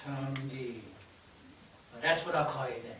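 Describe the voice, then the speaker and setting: A man playing a plain-spoken, gruffly friendly mill foreman in a staged play reading. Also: medium pitch, moderate pace, a bit bright, natural voice. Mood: brisk, friendly. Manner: informal, no-nonsense. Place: theatre stage. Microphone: distant microphone. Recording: distant, echoey stage with noise.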